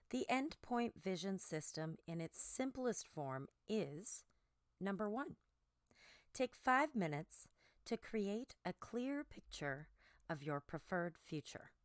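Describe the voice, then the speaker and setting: Expressive lower-pitched woman reading an audiobook. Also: dry recording, studio quality. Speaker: narrator reading a book aloud. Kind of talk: scripted audiobook narration.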